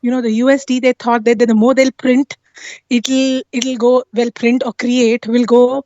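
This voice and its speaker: A woman with a high voice talks through an explanation in a casual, insistent way, regularly tagging her points with questions for agreement. The audio is dry and somewhat dull, like a lo-fi call. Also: level tone, a little slow, warm tone, no room sound. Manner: insistent, often seeks agreement with tag questions. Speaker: guest on a live talk stream.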